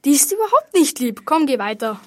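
A boy playing a clumsy, excitable burglar in an amateur school radio play. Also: very high voice, a little fast, exaggerated voice. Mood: irritable. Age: child.

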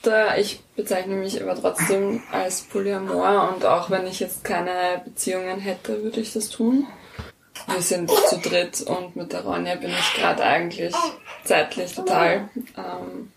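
A young woman talking casually about her life as an interview guest, halting as she thinks aloud. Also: fairly low pitch, little pitch movement, gravelly, heavy background noise. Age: young adult. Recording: music playing behind the voice. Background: music.

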